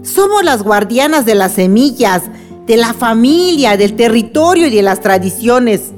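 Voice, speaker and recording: Radio drama role: actress as resolute defender of the land, female, deliberate delivery, raised pitch, light music bed. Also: big pitch swings, darkish tone. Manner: firm, deliberate oratory. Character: Doña Juana, resolute village woman, guardian of land. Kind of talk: radio drama acting, assembly speech.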